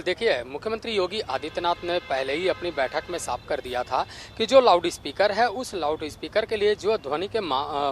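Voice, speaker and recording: High-voiced male field reporter outdoors. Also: fairly bright tone, slight background noise.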